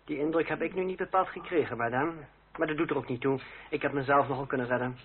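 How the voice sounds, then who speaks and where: An actor playing a proud, bitter young fencing master, speaking with formal, cool irony in a period radio drama. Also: male voice, fairly high pitch, quick pace, close to an everyday voice. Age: young adult. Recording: tinny, noisy old radio play recording.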